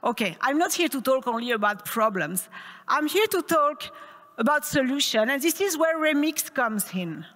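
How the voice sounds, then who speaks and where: Upbeat French woman presenting at a conference, high voice, long hall reverb. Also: animated, normal speed, fairly bright tone. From France. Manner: upbeat, asks the audience questions. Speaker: conference speaker. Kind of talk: tech conference talk.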